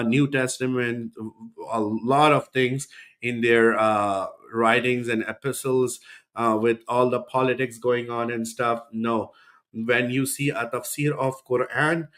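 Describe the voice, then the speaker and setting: A man hosting a reaction video, rebutting a podcast clip in a scornful, sarcastic tone. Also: mid-range voice. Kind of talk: reaction commentary.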